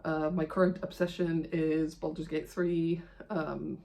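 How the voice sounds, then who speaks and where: Creaky-voiced woman chatting casually. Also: deep voice, little pitch movement, unhurried, darkish tone, a little hiss or hum. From Iceland. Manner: rambling, self-deprecating, chatty. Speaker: video host of a cross-stitch channel. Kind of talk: casual vlog chat to camera.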